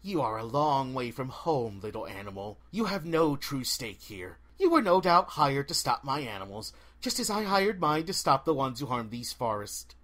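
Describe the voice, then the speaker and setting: A man voicing a haughty, merciless female forest spirit, speaking in slow, disdainful pronouncements in a fantasy storybook. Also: medium pitch, big pitch swings, fairly bright tone, voice pushed down. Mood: cold disdain.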